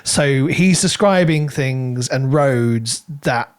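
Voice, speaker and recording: A man's voice: medium pitch, takes it slowly, clear, resonant tone. A man co-hosting a podcast, talking casually and chattily through a book discussion with little self-quoting asides. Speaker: podcast co-host reviewing books.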